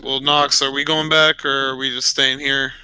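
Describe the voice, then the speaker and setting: A man's voice: medium pitch, flat delivery, normal speed, pushed and bright, smooth, low-quality recording. A man speaking casually as a player in a tabletop roleplaying game. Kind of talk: casual chat.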